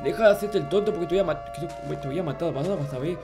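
A man's voice: fairly high pitch, fast talker, music in the background, lightly acted. A man voicing a childish, clueless, grumpy little game character, stammering and repeating himself in a Minecraft roleplay. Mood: indignant. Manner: babyish, stammering, repetitive, easily flustered. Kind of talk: improvised Minecraft roleplay narration.

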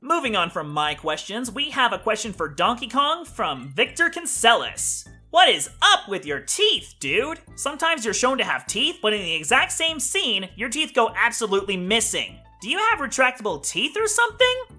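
A man's voice: very high voice, very animated, moderate pace, few pauses, sharp tone, faint music behind, heavily acted. A young man voicing a cheeky, pun-loving host of a cartoon question-and-answer show. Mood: chipper, cheeky.

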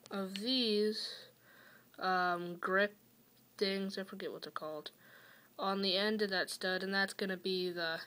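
A young woman hosting a casual building tutorial from a small dim room at home, talking hesitantly with many fillers and pauses, her voice often trailing off quietly. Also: medium pitch, slight background noise. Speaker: toy-building tutorial host. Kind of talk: casual how-to narration.

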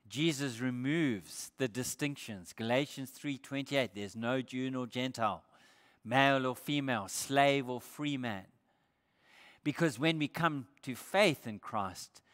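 An older man preaching a sermon as pastor, emphatic, lifting his voice on scripture quotes and dropping low for quiet points. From Australia. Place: church stage with brick wall. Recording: church hall with long reverb.

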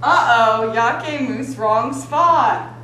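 A teenage girl plays host of a homemade cooking show, high and unhurried, giggling and tripping over her words. The sound bounces around an echoey room picked up by the camera. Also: sharp tone, slight background noise. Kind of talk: playful mock cooking show.

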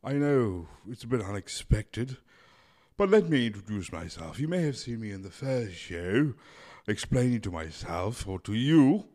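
A man hosting a comedy show in a theatrical, mock-formal way, speaking into a boom-arm desk mic in a small home studio. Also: darkish tone. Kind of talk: hammy scripted comedy hosting.

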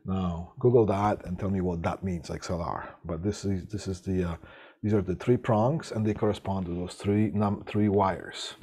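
Halting man teaching, dark husky voice. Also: low voice, fairly even intonation. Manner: halting, restarts often, checks in with "right?". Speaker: instructor. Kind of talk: live online lecture on audio systems.

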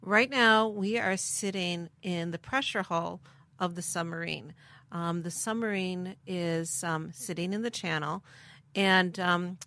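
Middle-aged woman, bright clear tone, dry sound, methodical guide. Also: on the low side, a little slow, no echo. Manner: informative, methodical, with fillers. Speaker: museum director, guest. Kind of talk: explanatory interview talk. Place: submarine galley.

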